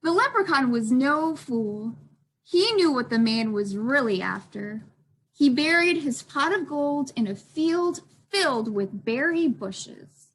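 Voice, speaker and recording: A woman's voice: high-pitched, lively intonation, takes it slowly, fairly bright tone, slightly rough, low-quality recording. A woman librarian reading aloud for a children's storytime, expressively addressing young listeners.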